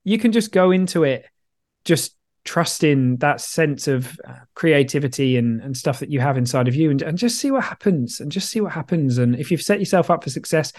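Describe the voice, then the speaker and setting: A man's voice: fairly high pitch, lively intonation, normal speed, darkish tone. A man co-hosting a podcast, a coach who talks in a rambling, chatty way. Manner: rambling, chatty, self-deprecating. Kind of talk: casual podcast chat.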